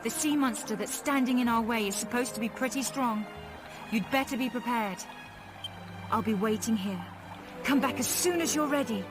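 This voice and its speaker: An actress playing a bold, bossy young woman adventurer in a video game, with an assertive, brisk manner. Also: a little high, close to an everyday voice. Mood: determined. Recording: game music behind the voice.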